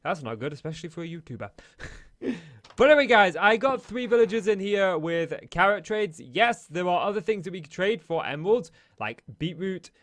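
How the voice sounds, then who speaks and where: A man with a high-pitched voice talks through his game in easy running commentary, calling out each step as he goes. His voice is slightly hoarse, as if he is losing it. The sound is dry, with no room echo. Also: animated, fairly quick, a bit bright. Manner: chatty, narrates each action as he plays. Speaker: Minecraft let's play host. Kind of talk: casual gameplay commentary.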